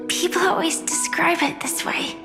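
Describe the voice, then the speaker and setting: A woman playing a gentle, wistful but resolute young dreamer in a video game cutscene, speaking softly and earnestly. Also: very high voice, steady tone, moderate pace, gravelly, music in the background. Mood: wistful, hopeful. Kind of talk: dramatic game cutscene acting.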